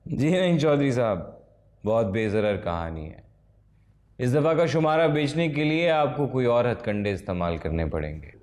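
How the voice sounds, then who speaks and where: An actor playing a sardonic, world-weary writer in a television period drama, with dry, courteous irony. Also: male voice, medium pitch, very animated, normal speed, a little mellow, natural voice. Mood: wry, businesslike. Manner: dry, ironic, courteous sarcasm. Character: sardonic, world-weary male Urdu short-story writer.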